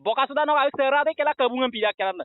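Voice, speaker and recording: A man's voice: very high-pitched, very fast, dry recording. A man in a heated, slangy phone argument, confrontational and scolding, repeating himself. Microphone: phone call. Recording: muffled dry phone call recording.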